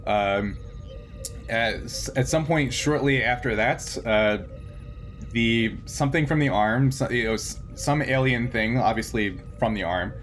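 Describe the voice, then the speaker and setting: A man working as game master, narrating a tabletop game in a halting, conversational way with frequent chuckles. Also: medium pitch, faint music behind.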